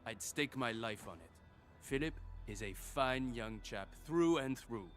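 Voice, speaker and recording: A man's voice: mid-range voice, expressive, a little slow, faint music behind, lightly acted. An actor playing an earnest, emphatic logistics supervisor in a video game, fiercely loyal to his friends. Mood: indignant.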